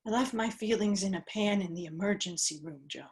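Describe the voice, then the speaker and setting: Actress as tough, wounded woman, medium pitch, wary and sharp-tongued, dry sardonic drama delivery. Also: a little fast, natural voice. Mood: guarded, skeptical. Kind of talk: dramatic table reading.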